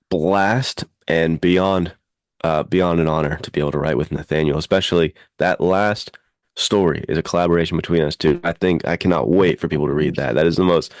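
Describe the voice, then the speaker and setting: A man's voice: low voice, no room sound, clean studio sound. A man co-hosting a podcast in casual chat, with an informal, effusive, enthusiastic way of talking. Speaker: podcast co-host and fiction writer.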